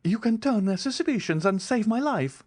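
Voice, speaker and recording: A man's voice: high-pitched, animated, normal speed, darkish tone, voice pushed up. A man voicing a young male fugitive who pleads desperately and later mocks with insolence. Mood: pleading desperately.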